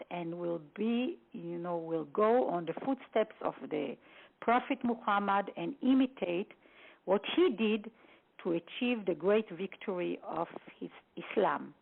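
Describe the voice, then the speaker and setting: Woman with a gravelly voice on a phone line. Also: medium pitch. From Israel. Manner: halting, with many fillers and restarts. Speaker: guest expert on a phone seminar. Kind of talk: lecture-style briefing.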